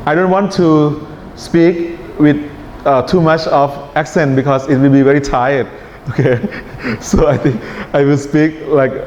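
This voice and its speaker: A man with a Thai accent teaching an IELTS writing class in a relaxed lecture style, often checking in with his students. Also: a little high, unhurried. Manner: relaxed, checks in with "right?" and "okay?". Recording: echoey hall, dull sound, faint hiss.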